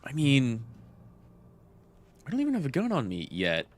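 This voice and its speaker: Man, game streamer, stuffy nose, chatty, swears, lively varied pitch. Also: moderate pace. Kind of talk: casual game-stream commentary.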